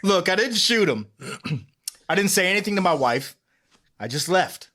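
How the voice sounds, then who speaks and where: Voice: mid-range voice, expressive, normal speed, sharp tone. A performer reading an exasperated, bitter husband in a comic table read, sounding irritated, sarcastic and defensive. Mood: irritated, guarded.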